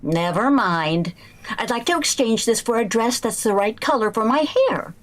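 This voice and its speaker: Lady doing a flustered 1940s radio sitcom housewife, really high voice, super animated and dramatic. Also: moderate pace, natural voice. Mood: prim, impatient. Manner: theatrical, exclaiming, expressive. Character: flustered, scheming, excitable housewife. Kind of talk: old-time radio comedy acting.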